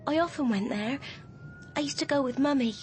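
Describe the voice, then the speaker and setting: A woman's voice: sits high, fairly quick, darkish tone, a little hiss or hum, lightly acted. An actress playing a frightened, insistent young girl confessing in a radio drama. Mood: urgent, confessing.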